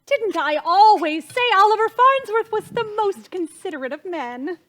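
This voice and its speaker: A woman playing an excitable, overwrought society hostess and mother in a stage comedy, exclamatory and given to repeating herself. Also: extremely high pitch, normal speed. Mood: triumphant. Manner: melodramatic, exclamatory, repeats phrases. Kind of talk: theatrical stage comedy acting.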